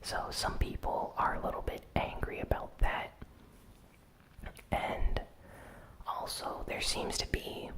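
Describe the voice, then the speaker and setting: A host whispering a weekly gaming news recap in ASMR style, soft and unhurried with frequent "um" fillers. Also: poor audio. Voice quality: fully whispered.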